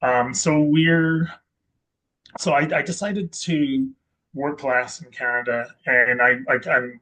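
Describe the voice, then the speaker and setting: A man from Scotland talks through his story as an interview guest. He wanders through his points, restarting sentences and filling gaps with ums. His voice sits at an ordinary middle pitch. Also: fairly even intonation, takes it slowly, pushed and bright, poor audio. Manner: rambling, self-correcting with many ums and restarts. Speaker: guest investor. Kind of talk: casual interview chat.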